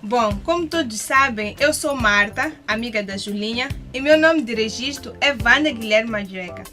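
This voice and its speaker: Female radio actress, highish voice, scripted address over faint music. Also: moderate pace, sharp tone.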